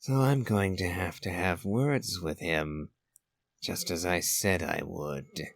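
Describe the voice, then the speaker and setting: Deep, gravelly man commenting on a game. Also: slow, no echo. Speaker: streamer playing and reacting to a game.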